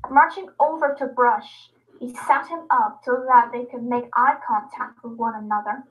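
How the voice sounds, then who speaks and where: Muffled, high-voiced woman reading a story. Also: fairly even intonation, moderate pace. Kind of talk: dramatic reading of scripted story lines. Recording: dull lo-fi sound.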